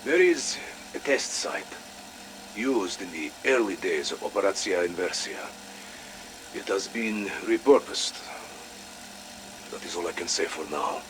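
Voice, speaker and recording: A wry, principled Soviet operative, speaks in a game audio log. His low voice is deliberate and dryly sardonic, with some noise behind it. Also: lively intonation, a bit bright, poor audio. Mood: grave, measured.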